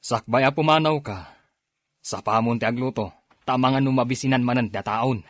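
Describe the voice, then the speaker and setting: A man playing a bossy, short-tempered husband and father in a radio drama, gruff and demanding, with an Ilocano accent. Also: mid-range voice, normal speed, no echo, studio quality, lightly acted. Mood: grumpy, demanding.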